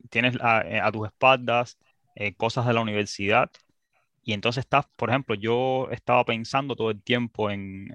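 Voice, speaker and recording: A man chatting casually, stumbling over his words and restarting often. His voice is bright and somewhat low, recorded dry and close. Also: no room sound, lo-fi sound. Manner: hesitant, many restarts and fillers. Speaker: student guest. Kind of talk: casual conversation.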